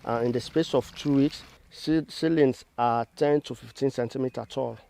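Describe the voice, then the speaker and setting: A man's voice: mid-range voice, moderate pace, vocal fry, warm tone. A man giving step-by-step instruction as an agricultural trainer, in methodical, formal wording. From Sierra Leone.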